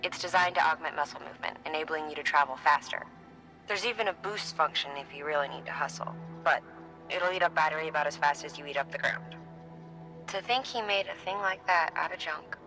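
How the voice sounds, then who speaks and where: A man's voice: on the high side, a little fast, sharp tone, faint music behind, poor audio. An actor playing an upbeat male mission adviser in a video game, explaining gear to a courier. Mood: enthusiastic briefing.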